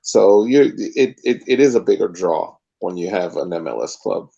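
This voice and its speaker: A man co-hosting a sports podcast, talking in a measured, hesitant way with frequent pauses. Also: low-pitched, lively intonation, vocal fry, mellow, slightly rough. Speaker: podcast co-host. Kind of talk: casual sports podcast chat. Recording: dull lo-fi mic.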